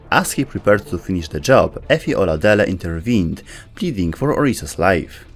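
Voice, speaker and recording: A man delivering scripted storytelling narration for a video game lore video. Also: lowish voice. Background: faint background music.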